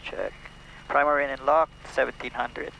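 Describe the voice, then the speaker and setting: A man's voice: medium pitch, fairly even intonation, normal speed, vocal fry, lo-fi sound. A Filipino-accented man flying a small plane, calling out checklist items and briefings in clipped, procedural phrases. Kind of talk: cockpit checklist callouts and takeoff briefing. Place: inside a small propeller plane cockpit. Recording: noisy small-plane cockpit, engine drone. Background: engine and vehicle noise.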